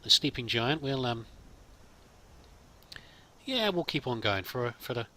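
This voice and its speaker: Bright-voiced man doing game commentary. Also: medium pitch, very animated, a little slow. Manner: musing, thinking aloud. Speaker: gamer, let's play host. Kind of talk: casual improvised roleplay game commentary.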